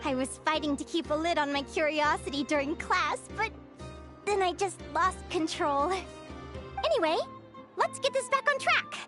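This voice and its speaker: A woman's voice: very high voice, normal speed, over music. A woman voicing a bubbly, curious young female guild advisor in a video game, with a light girlish voice and a chirpy, gushing manner. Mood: eager, curious.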